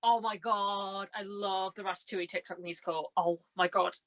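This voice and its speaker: A young woman chatting casually as a podcast guest, telling anecdotes in a gushing, excitable way. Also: medium pitch, moderate pace. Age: young adult. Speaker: podcast guest, amateur actress.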